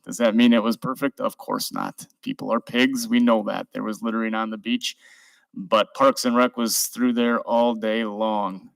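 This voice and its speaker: A man's voice: fairly low pitch, creaky, fairly bright tone, no room sound, poor audio. A man chairing a city council meeting, speaking informally and giving thanks to city staff. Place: council chamber. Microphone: desk microphone.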